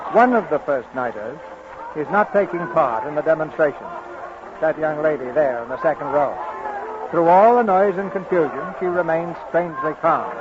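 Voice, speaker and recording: A man's voice: a little high, background noise. A man hosting and narrating in a polished, theatrical, scripted 1940s radio style. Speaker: host and narrator. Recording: dull vintage radio recording.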